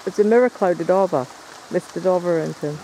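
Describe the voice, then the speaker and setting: A man's voice: high-pitched, a little fast, dark tone, slight background noise, low-quality recording. A man chatting casually while investigating the woods at night, rambling and explaining as he goes. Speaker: paranormal investigator filming. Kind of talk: casual on-location chat. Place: woods by a stream at night, in rain.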